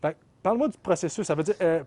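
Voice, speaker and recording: A man's voice: sits high, rapid-fire, mellow, slight background noise. A man with a Québécois French accent hosting a casual interview, chatty and often finishing his guest's sentences. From Quebec. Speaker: podcast host.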